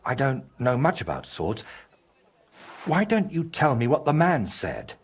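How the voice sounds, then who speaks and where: Husky, slow-spoken troubled painter. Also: male voice, medium pitch, very animated, heavily acted. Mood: guarded surprise. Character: troubled middle-aged male medieval painter, evasive and guilt-ridden. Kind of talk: video game dramatic dialogue.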